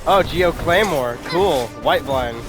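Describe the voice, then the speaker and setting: A man's voice: a little high, expressive, slow, poor audio. A man giving casual, informal live reactions as he plays a video game. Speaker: gaming video host. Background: game music.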